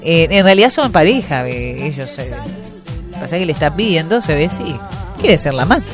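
A woman's voice: low voice, sing-song, moderate pace, music in the background. A Uruguayan woman hosting a local radio show, chatting casually and reading listener messages with lots of fillers. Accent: Uruguayan Spanish. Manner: chatty, many fillers and restarts. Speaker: radio host.

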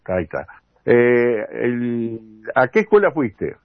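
An older man with a Uruguayan accent hosting a radio interview programme, with a halting delivery that often repeats words. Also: mid-range voice, sing-song. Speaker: radio host. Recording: tinny phone-like radio sound, roomy echo.

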